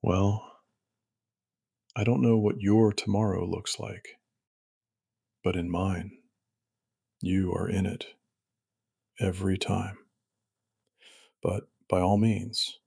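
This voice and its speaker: A deep-voiced, devoted male lover, acted by a man in a romantic drama. He talks slowly and suggestively, with a gravelly, teasing edge, recorded close and dry. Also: steady tone, warm tone, dry recording, exaggerated voice. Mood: tender. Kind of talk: intimate romantic role-play dialogue.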